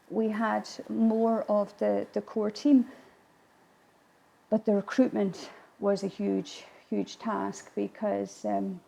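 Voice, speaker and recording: A woman who grew up speaking Irish Gaelic talks in an interview about her work. Her voice has a gravelly creak and sits at a middling pitch. She is reflective, often pausing partway through a phrase to find her words. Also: dark tone. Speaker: whisky distillery visitor attraction manager. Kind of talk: interview, recounting a business launch.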